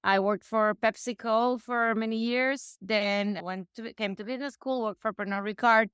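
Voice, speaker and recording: A woman's voice: on the high side, steady tone, normal speed, a bit bright, clean tone, dry recording, studio quality. A woman in her forties from Mexico, chatting as a podcast guest and often restarting her sentences. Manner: chatty, frequent restarts and self-corrections. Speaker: guest, real estate investor. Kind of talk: casual interview conversation.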